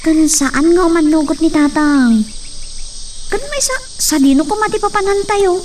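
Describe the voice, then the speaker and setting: A woman's voice: high-pitched, animated, moderate pace, a little mellow, slight background noise. A woman playing a worried young woman who muses aloud in a radio drama.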